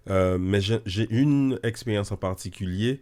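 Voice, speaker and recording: Casting: low-pitched male guest from French Guiana, halting speech. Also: dark tone. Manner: halting, stuttering repetitions. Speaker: guest, theology student.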